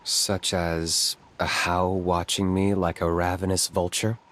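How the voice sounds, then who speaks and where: An actor playing a calm, aloof young warrior in a video game, measured and laconic. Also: male voice, deep voice, slight background noise. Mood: dry.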